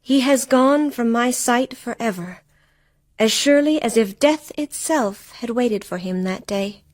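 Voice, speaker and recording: Slow, hushed, grieving wife. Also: female voice, on the high side, expressive, no room sound. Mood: resigned grief. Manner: hushed, slow and brooding, sometimes whispering. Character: grieving, weary wife of a vanished husband. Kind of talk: radio play acting.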